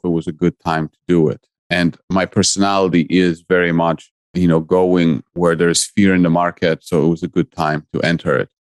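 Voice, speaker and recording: A very deep-voiced man answers interview questions. He speaks earnestly, often stacking his ideas in short clipped phrases. The recording is close and dead, with no room sound. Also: no echo. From Poland. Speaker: guest investor.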